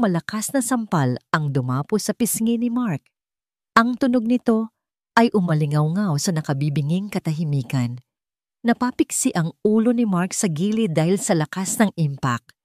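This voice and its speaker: A woman storyteller with a fairly low voice delivers dramatic scripted narration of a love story. The recording is dry, with hardly any room sound. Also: expressive, moderate pace, dark tone, no echo.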